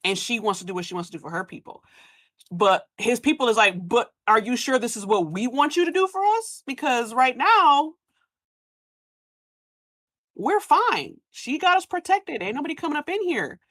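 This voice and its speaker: Expressive woman chatting, close dry sound. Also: a little high, normal speed, no room sound. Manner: animated, colloquial, voices imagined speakers. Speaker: podcast live event panelist. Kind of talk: casual discussion with acted-out imagined quotes.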